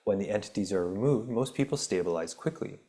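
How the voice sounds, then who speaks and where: A man hosting a spiritual healing podcast, reading an article aloud and then rambling casually with many ums, his voice turning raspy. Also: fairly low pitch, expressive, fairly quick, dark tone. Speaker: podcast host.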